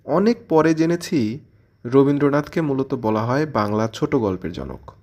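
A man's voice: medium pitch, lively intonation, normal speed, a little mellow. A man presenting a scripted literary commentary in an explanatory way, posing questions and answering them as he goes. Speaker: presenter of a literary audio channel.

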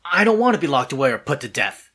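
An actor playing an anxious, polite man desperate for help in an audio drama, with a husky voice and a hesitant, earnest manner. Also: a little high, big pitch swings, fast talker, a bit bright, full character voice. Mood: nervous.

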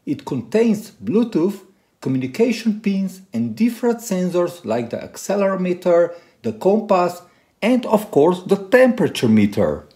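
Animated man vlogger, lively pitch swings. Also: unhurried. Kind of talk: presenting to camera and narrating a car tech video.

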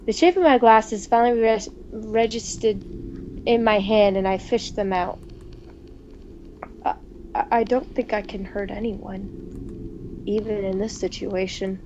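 A woman co-hosting a gaming video, reading visual novel text aloud in a playful, expressive way with casual asides. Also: medium pitch, unhurried, long pauses. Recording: dull, noisy home recording.

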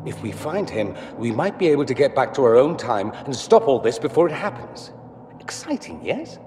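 A suave, erudite mage from a video game, acted by a man. His flippant, theatrical delivery swings widely in pitch. There is long reverb and faint noise around the voice. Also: sing-song, normal speed, darkish tone, natural voice. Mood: urgent, brisk. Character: suave, witty, curious male mage.

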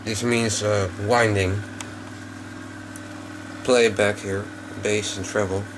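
A man giving a casual, unscripted hands-on demonstration in a low, creaky voice, recorded on a handheld camera microphone. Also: level tone, takes it slowly, background noise. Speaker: hobbyist video maker. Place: small indoor workroom.

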